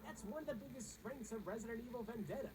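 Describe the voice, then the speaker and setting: A woman's voice: on the high side, fast talker, noisy background. A woman reading scripted comedic countdown narration in a sarcastic, snarky manner.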